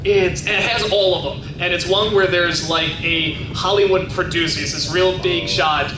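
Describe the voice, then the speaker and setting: A man in his thirties with a high-pitched voice, chatting casually as he answers audience questions at a convention panel, full of fillers and restarts. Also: steady tone, moderate pace, far from the mic. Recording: echoey convention hall from afar, noisy.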